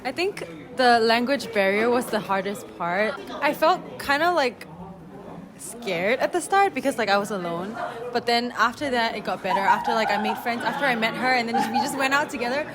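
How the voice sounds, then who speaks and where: A young woman speaks in a fairly high voice, softly and casually, and lets her sentences fade away at the ends. A crowd can be heard clearly behind her. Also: expressive, normal speed. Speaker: summer school student. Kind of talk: casual testimonial.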